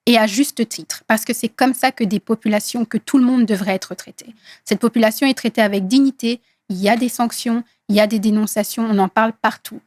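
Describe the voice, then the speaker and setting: A woman in her thirties from Congo whose first language is Swahili, speaking earnestly in an interview with many hesitant pauses. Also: fairly high pitch, steady tone, darkish tone, dry recording, studio quality. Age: 30s. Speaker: guest activist. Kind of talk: interview conversation.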